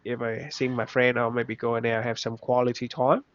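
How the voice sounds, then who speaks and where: Young guy w a vietnamese accent, halting and restarting a lot, dry dull-sounding recording. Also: mid-range voice, little pitch movement, dry recording. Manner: halting, with many restarts and simple phrasing. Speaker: interviewee. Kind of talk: casual reflective chat.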